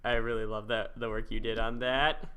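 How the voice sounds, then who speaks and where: A man hosting a casual podcast interview, talking in a rambling, halting way and often repeating his words. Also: on the low side, level tone, fairly bright tone, clear, resonant tone. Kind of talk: casual chat.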